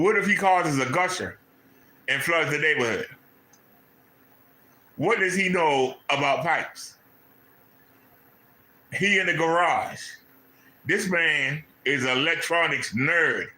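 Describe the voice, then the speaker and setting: A man's voice: a little high, sharp tone, echoey room, low-quality recording. A man from Maryland hosting a commentary channel, mocking someone in a clip with incredulous, repetitive questions. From Maryland, USA. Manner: incredulous, mocking, repeats points. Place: home room.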